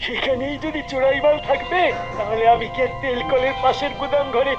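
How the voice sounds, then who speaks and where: An actor's high-pitched sneaky cartoon shopkeeper. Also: steady tone, a little fast, highs cut off, music in the background, lightly acted. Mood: sly. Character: sneaky, flustered adult man, small-time crooked shopkeeper. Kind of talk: cartoon voice acting.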